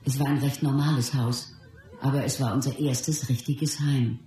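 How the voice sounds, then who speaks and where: An actress playing a wife who recalls life with her husband in a measured, composed radio drama narration. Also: very deep voice, steady tone, warm tone, roomy sound, slight background noise. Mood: reflective. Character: Bruce Lee's wife, reflective woman recalling their life.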